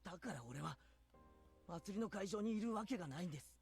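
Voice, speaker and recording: Teenage boy, earnest and resolved, quick lively delivery, faint music. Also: high-pitched, full character voice. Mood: resolute. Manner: steady, clear and resolved. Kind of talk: Japanese game voice acting.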